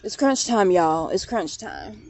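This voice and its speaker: A woman in her late thirties chatting casually to viewers in a vlog, laughing often, recorded outdoors on her phone in a quiet park. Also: medium pitch, huge pitch swings, measured pace, gravelly, slight background noise. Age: 30s. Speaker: vlogger.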